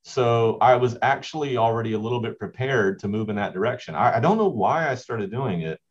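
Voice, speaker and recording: A man's voice: mid-range voice, animated, brisk. A man, a school basketball coach, answering interview questions in a rambling way with many restarts. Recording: dull lo-fi audio.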